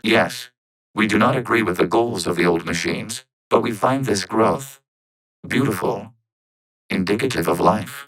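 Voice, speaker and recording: An actor playing a calm, logical synthetic robot in a video game, speaking in measured, formal phrases through robotic voice processing. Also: male voice, lowish voice, no echo, rough recording. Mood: earnest, subdued. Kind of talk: video game character dialogue.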